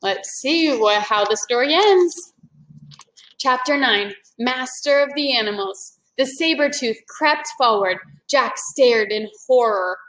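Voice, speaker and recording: A woman's voice: a little high, animated, takes it slowly, fairly bright tone, rough recording. A woman reading a children's story aloud expressively, as if to a young class.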